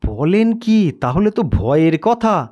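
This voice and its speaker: An anxious male traveller from an audio storybook, performed by a man narrator. His pitch sits fairly high and moves around a great deal, and his manner is polite but touchy, ready to protest. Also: sing-song, slow, mellow, dull audio, heavily acted. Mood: uneasy. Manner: polite turning huffy and protesting. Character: indignant, anxious male night-time cart passenger telling his tale. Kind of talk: dramatised audio storybook character.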